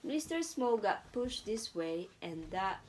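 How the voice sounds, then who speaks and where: A woman reading a storybook to her child as a mother, patiently pausing to chat and answer. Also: mid-range voice, very animated, a little mellow, slight background noise.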